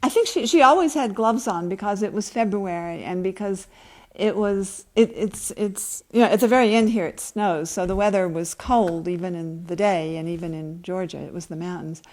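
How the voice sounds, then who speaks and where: A woman's voice: medium pitch, normal speed, a little mellow. A woman novelist speaking at a book event, reading from her work and answering questions.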